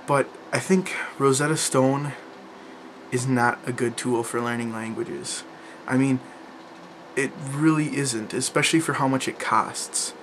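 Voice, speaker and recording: A man's voice: mid-range voice, fairly even intonation, unhurried, fairly bright tone, slight background noise. A young man chatting casually to camera about learning languages, rambling, with lots of fillers and restarts. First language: English.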